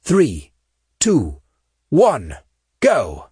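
A man's voice: mid-range voice, sing-song, normal speed, husky, no echo, clean studio sound, voice pushed up. A man voicing a commanding marine gunnery sergeant in an audiobook, with clipped, order-giving delivery. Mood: urgent.

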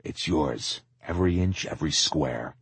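A man voicing a kindly, dreamy old shopkeeper, gentle, encouraging and wistful, in an audiobook. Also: a bass voice, measured pace, husky, no room sound, full character voice. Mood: warm, wistful. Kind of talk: audiobook character acting.

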